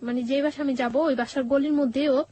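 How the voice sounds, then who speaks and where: A woman with a Bangladeshi accent tells a personal story conversationally, halting and repeating phrases as she goes. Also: sits high, steady tone, dark tone. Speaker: guest telling her life story. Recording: music bed behind the voice.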